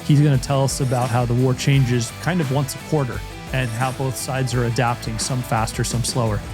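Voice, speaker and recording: A man hosts a podcast in a relaxed, rambling manner with a middle-range voice. A guitar music bed plays clearly behind his intro. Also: mid-range voice, normal speed. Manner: relaxed, rambling, many fillers and restarts. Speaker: podcast host. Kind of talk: casual podcast hosting.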